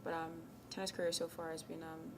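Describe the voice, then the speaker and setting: Soft-spoken young woman, low flat voice. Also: brisk. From Ghana. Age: young adult. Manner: soft-spoken, many ums, drops to near whispers. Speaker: college tennis captain. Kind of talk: casual interview answers. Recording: noisy background.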